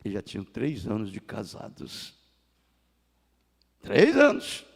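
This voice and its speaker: A man in his sixties with a Brazilian Portuguese accent, preaching a sermon as pastor, rising to loud cries and dropping to hushed tones. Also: lowish voice, wildly swooping pitch, slow, gravelly. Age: 60s. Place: church sanctuary. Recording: long church hall reverb.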